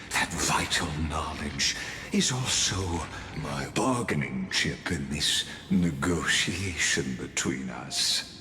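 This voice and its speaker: Very deep, very slow enigmatic schemer. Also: male voice, exaggerated voice. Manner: formal, measured, grandiloquent, deliberate pauses. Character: enigmatic, calculating male cosmic wanderer offering sinister bargains. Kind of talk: scripted video game character dialogue. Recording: echoey, with music underneath. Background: background music.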